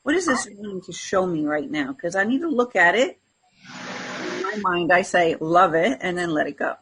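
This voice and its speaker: A woman's voice: lowish voice, expressive, moderate pace, fairly bright tone, a little hiss or hum. A woman co-hosting a spiritual talk show, chatting informally and reflectively, often voicing inner self-talk. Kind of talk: casual unscripted conversation about spirituality.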